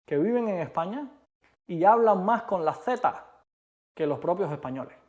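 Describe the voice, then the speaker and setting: A man from Cuba with a Cuban Spanish accent, co-hosting a casual chat in an emphatic, animated way. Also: sits high, normal speed. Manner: emphatic, animated, repeats rhetorical questions. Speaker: co-host.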